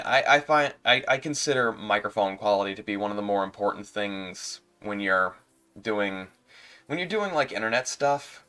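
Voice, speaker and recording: A man with a stuffy nose talking casually on a livestream as a streamer, halting and repeating his words as he goes. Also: fairly low pitch, bright, forceful tone.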